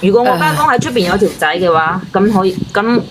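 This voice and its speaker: A woman phones in with a mid-pitched voice, pleading and defending herself in casual argument. The call has clear background noise. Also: fast. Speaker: caller seeking relationship advice.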